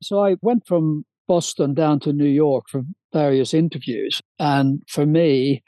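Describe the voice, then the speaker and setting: A man's voice: mid-range voice, mellow, no room sound. A man in his sixties, originally from Sweden, telling his career story as a podcast guest with fluent enthusiasm and small restarts. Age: 60s. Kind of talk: interview storytelling.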